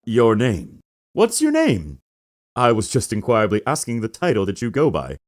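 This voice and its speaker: A man's voice: fairly low pitch, wildly swooping pitch, normal speed. A man voicing a smug, flamboyantly arrogant company president in a theatrical video game fan dub, with a pompous, grandiose way of talking. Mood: grandly condescending. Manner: pompous, grandiose, mangles big invented words.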